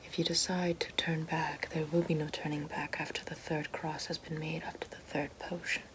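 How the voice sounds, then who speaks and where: A woman playing a haughty, fallen evil queen and potion-maker in a breathy, mostly whispered ASMR roleplay. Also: deep voice, flat delivery, natural voice. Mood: calm, ominous. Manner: slow, deliberate, haughty, theatrical. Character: fallen evil queen, sly potion-making sorceress down on her luck.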